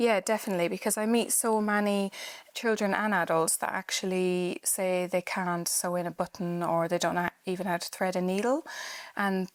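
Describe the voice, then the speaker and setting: A woman's voice: fairly low pitch, fairly even intonation, moderate pace, no room sound. A woman in her thirties from Ireland with an Irish accent, chatting as a podcast guest about teaching sewing, with many ums and restarts. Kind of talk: casual podcast chat.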